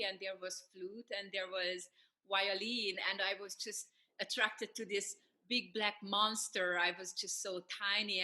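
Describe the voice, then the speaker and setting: A middle-aged woman with a Hungarian accent answers interview questions in a bright voice. She talks thoughtfully, with frequent fillers and restarts as she gathers her thoughts. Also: medium pitch. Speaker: pianist and piano professor.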